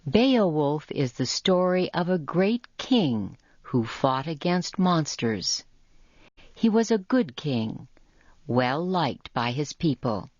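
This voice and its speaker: A woman with a low voice reads an educational script for learners. Her delivery is very slow and measured, each phrase carefully enunciated. The sound is dry, with little room around it. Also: big pitch swings, a little mellow, no room sound. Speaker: educational narrator. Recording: muffled lo-fi audio.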